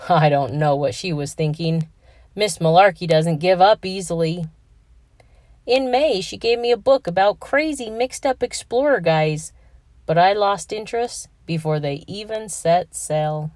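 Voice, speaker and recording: A woman's voice: lowish voice, unhurried, darkish tone, dry recording, clean studio sound. Woman reading a picture book aloud. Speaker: children's book reader.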